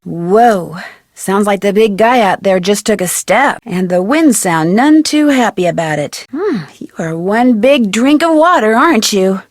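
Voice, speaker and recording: An actress playing a curious, plucky young amateur detective with an American accent, musing aloud to herself in an adventure game. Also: female voice, fairly high pitch, no echo, studio quality. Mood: thoughtful. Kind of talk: scripted adventure game voice acting, thinking aloud.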